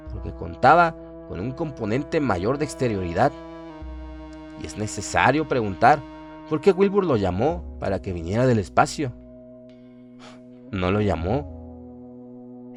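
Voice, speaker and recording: Un hombre que interpreta a un erudito grave que advierte con solemnidad y mesura sobre un mal cósmico, en una radionovela de terror. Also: male voice, medium pitch. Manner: measured, solemn, emphatic. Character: grave learned man warning villagers of cosmic evil. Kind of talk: horror radio drama acting.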